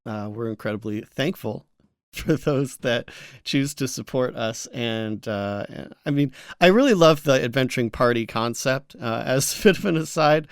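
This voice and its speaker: A man co-hosting a podcast in casual chat, speaking haltingly and often restarting his sentences. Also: mid-range voice, clear, resonant tone, no echo, clean studio sound. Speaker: podcast co-host.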